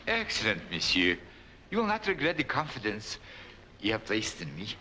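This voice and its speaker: An actor playing a suave, obsequious and formal nightclub head waiter in a 1940s film. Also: male voice, fairly low pitch, huge pitch swings, moderate pace, sharp tone, full character voice, poor audio. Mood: unctuous, formal. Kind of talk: 1940s film noir acting. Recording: faintly hissy 1940s film soundtrack.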